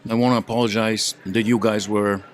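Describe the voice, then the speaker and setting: A man's voice: fairly low pitch, little pitch movement, fairly quick. A man chatting casually as a podcast panelist in a wry, joking way. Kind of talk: casual group chat.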